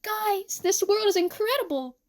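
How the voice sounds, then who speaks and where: A woman voicing an eccentric, excitable teenage boy who directs stage shows, with a theatrical manner. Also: very high-pitched, moderate pace, no room sound, clean studio sound, voice pushed up. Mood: eager.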